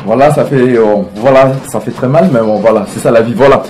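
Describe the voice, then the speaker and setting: A male TV host with a middle-pitched voice leads the interview. He is chatty and affirming, dropping frequent "voilà" as he guides the guest. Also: fairly quick, a little mellow, faint music behind. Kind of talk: hosting an interview.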